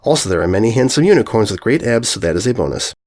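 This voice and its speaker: A man's voice: on the low side, big pitch swings, fast, darkish tone. Man acting an earnest, eccentric male author. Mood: upbeat, sincere. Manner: guileless, oddly phrased rambling. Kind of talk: fan fiction story reading.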